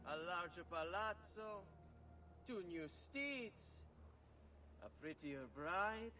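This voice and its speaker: A taunting young Renaissance noble in a video game. He has an Italian accent and drawls slowly with big mocking swoops. The voice is a little far off, with music underneath. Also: high-pitched, expressive, bright, forceful tone, exaggerated voice. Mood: mocking, smug. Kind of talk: theatrical video game cutscene acting.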